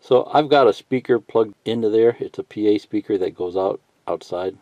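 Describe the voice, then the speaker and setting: A man giving a casual, unscripted how-to tutorial, thinking out loud in a halting, folksy way and reading bits of instructions aloud. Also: on the low side. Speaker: hobbyist instructor. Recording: muffled lo-fi sound, roomy echo.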